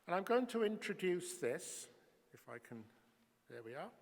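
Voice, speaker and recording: A man giving a formal conference presentation as a public health official, measured and explanatory, with occasional hesitations. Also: sits high, big pitch swings, vocal fry. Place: conference hall. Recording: reverberant lecture hall.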